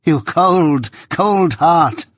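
A man voicing a bitter, possessive old adoptive mother in a novel reading, with impassioned, drawn-out, wailing cries. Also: mid-range voice, lively intonation, fairly bright tone, slightly rough, no echo, studio quality, exaggerated voice. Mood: furious, accusing.

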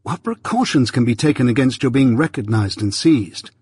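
A man reading an audiobook voices an anxious young gentleman who speaks in proper, strained tones. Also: mid-range voice, lively intonation, moderate pace, husky, no echo, clean studio sound. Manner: proper educated speech, strained. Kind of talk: audiobook character voice.

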